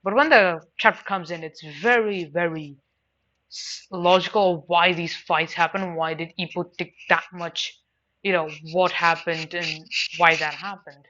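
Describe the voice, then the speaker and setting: A woman's voice: on the low side, steady tone, measured pace, fairly bright tone. A woman giving a casual, unscripted opinion review, rambling and repeating words emphatically for stress. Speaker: anime and manga reviewer. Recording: dull lo-fi mic with faint noise.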